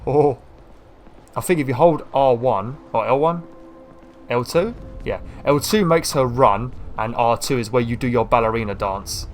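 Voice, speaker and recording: Male gamer, croaky today, chatty and rambling, faint game music underneath. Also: mid-range voice, a little slow. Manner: rambling, chatty, self-deprecating. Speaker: gaming YouTuber. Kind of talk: casual gameplay commentary.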